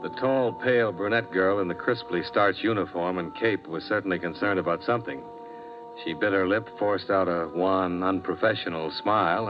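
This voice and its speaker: A wry, hard-boiled insurance investigator, as a man plays him, narrating in a lowish voice. The muffled vintage radio sound carries faint music underneath. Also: close to an everyday voice. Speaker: narrator and lead. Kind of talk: 1950s radio drama narration.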